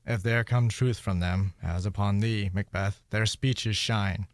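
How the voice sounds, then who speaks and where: A brooding nobleman of Shakespearean radio drama, played by a young man. He delivers verse very slowly and deliberately in a lowish male voice, with a close, dry sound. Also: steady tone, dry recording, natural voice. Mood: brooding, suspicious. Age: young adult. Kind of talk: Shakespearean radio play acting.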